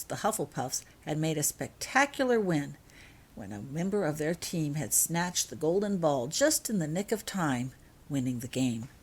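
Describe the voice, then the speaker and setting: Female storybook narrator, lower-pitched voice, author reading her own fan fiction.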